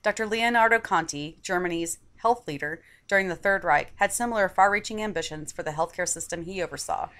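Lower-pitched woman reading an article aloud. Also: fairly bright tone. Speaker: article reader. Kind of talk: scripted narration of an opinion article.

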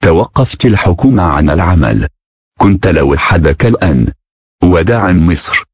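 A man's voice: sits low, sing-song, rapid-fire, breathy edge, no echo, studio quality. A man reading a scripted emergency announcement as a broadcast announcer, formal and synthetic-sounding.

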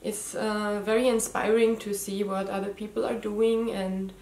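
A woman in her thirties with a slight Austrian German accent, speaking English as a second language. She hosts a home knitting podcast, talking straight to camera in a casual, hesitant way with plenty of ums. Her voice is mid-pitched and the room sounds noticeably echoey. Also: flat delivery, normal speed, vocal fry, darkish tone. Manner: hesitant, many ums and restarts. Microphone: camera mic, head and shoulders framing.

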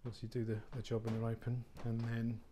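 A man, an estate agent giving an unscripted walk-through tour of a warehouse flat, chatty and full of fillers and restarts. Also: mid-range voice, brisk, a little hiss or hum. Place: large warehouse flat, indoors. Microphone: handheld phone camera, speaker off screen.

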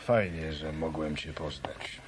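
A blunt, guarded ex-boxer. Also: male voice, extremely low pitch, measured pace, noisy background. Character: gruff, guarded ex-boxer, the bookkeeper's brother. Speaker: actor in a radio play. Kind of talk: Polish radio drama dialogue.

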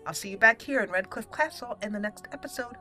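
A woman hosting a casual let's play, chatty and expressive as she talks to the game characters. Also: fairly high pitch, fast talker. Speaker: gaming channel host. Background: game music.

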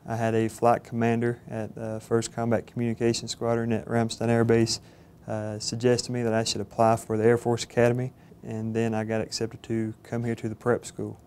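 Earnest young Georgia man, flat delivery. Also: medium pitch, slight background noise. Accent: Southern US, Georgia. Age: 20s. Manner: earnest, halting with frequent fillers. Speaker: cadet candidate. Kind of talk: interview testimonial. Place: studio with plain backdrop. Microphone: lapel mic.

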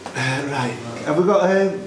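Male quiz organiser, highish voice with big swings, casual and exclamatory, off mic in a noisy room. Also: unhurried, recorded from a distance. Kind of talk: casual banter. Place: indoor pub room. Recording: noisy echoey pub, off mic.

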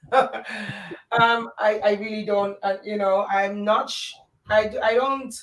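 A woman in her fifties, a pastor, answering earnestly and softly from home over a video call. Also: mid-range voice, fairly even intonation, takes it slowly, a little hiss or hum. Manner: earnest, soft, backchannels often. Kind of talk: answering questions in an interview. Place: home room, indoors. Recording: echoey room, far from mic.

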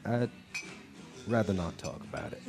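An actor playing a world-weary, haunted blues musician in a radio drama, halting and reluctant as he tells his story. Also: on the low side, a little mellow, natural voice. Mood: weary, guarded. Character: brooding, haunted male blues musician drinking in a bar. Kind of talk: live radio drama acting. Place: live stage performance of a radio drama.

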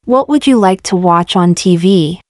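A woman's voice: lowish voice, expressive, a little mellow, dry recording. Woman reading lesson questions, dry close. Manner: clear, measured question reading. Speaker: language lesson reader. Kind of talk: slow repeated example questions for learners.

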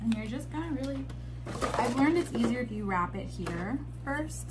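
A woman's voice: a little high, lively intonation, normal speed, heavy background noise. Chatty young woman filming herself at home. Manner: chatty, upbeat, frequent ums. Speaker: homemaker vlogger. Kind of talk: casual how-to chat. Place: home kitchen and outdoors in snow.

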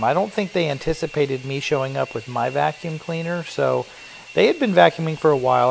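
A man's voice: mid-range voice, gravelly, slight background noise, rough recording. A middle-aged man who owns a cleaning business, giving a chatty, explanatory voiceover for his work vlog. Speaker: carpet cleaning business owner.

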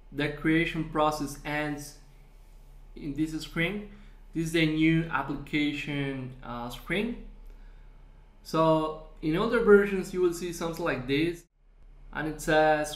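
A man with a fairly high voice walks through a software tutorial at an unhurried pace, pausing on fillers as he explains. His voice sits in an echoey room, recorded for a screen demo. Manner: slow, explanatory, with frequent fillers.

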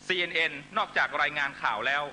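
A middle-aged man giving an impassioned political rally speech in emphatic, short measured phrases into a stage microphone. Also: a little high, pushed and bright. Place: large night-time outdoor rally stage. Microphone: stand microphone on stage. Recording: noisy live rally public-address broadcast with hum.

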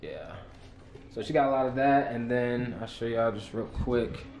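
A young man chatting casually to a handheld camera for his vlog, in a relaxed way. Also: medium pitch, moderate pace, slight background noise. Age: young adult. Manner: laid-back, talks to camera, commands dogs firmly. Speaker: vlogger. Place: car park outdoors, then house indoors. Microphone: handheld camera at arm's length.

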